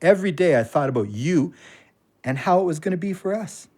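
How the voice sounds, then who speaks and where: An actor playing a hopeful, restless working-class ex-con with slangy, plain-spoken talk in an audio drama. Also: male voice, a little high, animated, fairly quick, a little mellow. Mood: eager, pleading. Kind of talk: audio drama acting and monologue.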